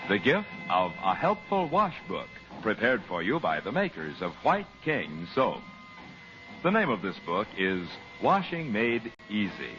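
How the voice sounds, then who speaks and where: Animated vintage male radio announcer. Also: mid-range voice, lo-fi sound. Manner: polished, emphatic sales delivery. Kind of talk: 1940s radio advertising read. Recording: band-limited old radio broadcast with music. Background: music.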